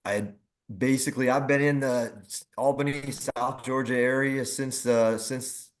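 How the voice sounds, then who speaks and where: Middle aged guy chatting as a guest, mid pitch, easygoing, halting delivery. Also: steady tone. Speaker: guest, athletic director and former team general manager. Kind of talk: casual chat.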